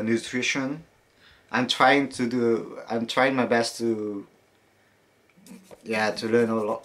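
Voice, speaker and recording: A young man answering viewer questions in a casual fitness vlog, relaxed and hesitant, with many fillers and some casual swearing. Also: mid-range voice. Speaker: fitness vlogger.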